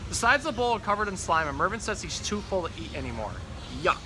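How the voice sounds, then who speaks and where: A man's voice: sits high, big pitch swings, fast talker. Man reading as a fussy storybook goldfish, noisy background. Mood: exasperated, frazzled. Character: exasperated, fussy pet goldfish narrating his diary. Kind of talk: children's storybook read-aloud.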